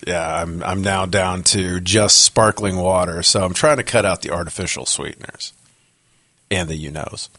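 A man with a low, hoarse voice chats casually as a podcast co-host, circling his points with fillers and false starts. The sound is close and dry, as if recorded in an office. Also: normal speed, bright, forceful tone, no room sound. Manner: rambling, many fillers and restarts. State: hoarse from a cold. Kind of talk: casual chat.

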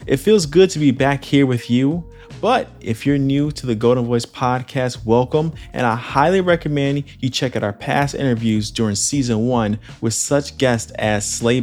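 Hyped-up male podcast host. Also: mid-range voice. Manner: enthusiastic, hyped, effusive. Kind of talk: scripted intro then casual interview.

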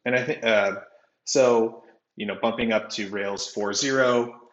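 A man talking casually as a technical podcast guest, halting and often correcting himself as he explains. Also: fairly even intonation, a bit bright. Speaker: podcast guest, software developer. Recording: roomy, slightly dull low-fidelity audio.

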